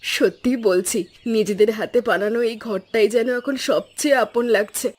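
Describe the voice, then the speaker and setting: A woman voicing a caring middle-aged wife and mother in a cartoon story, speaking gently and soothingly. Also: fairly high pitch, normal speed, breathy edge. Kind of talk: cartoon voice acting.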